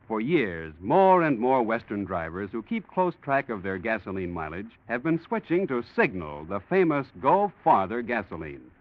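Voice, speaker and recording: Animated male 1940s radio announcer. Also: medium pitch. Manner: polished, persuasive, emphatic. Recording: muffled old radio broadcast.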